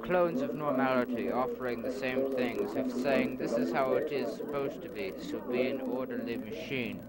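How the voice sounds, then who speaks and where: A man narrates in solemn, wordy, poetic prose at a middle pitch. The old cassette recording is very noisy. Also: normal speed.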